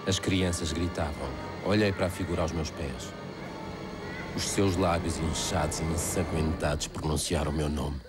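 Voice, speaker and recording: A man with a European Portuguese accent voicing a doomed castaway, narrating a macabre horror tale in a grave, literary, measured way. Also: rumbling low, fairly even intonation, loud music behind the voice. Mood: despairing. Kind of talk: dramatic first-person storybook narration.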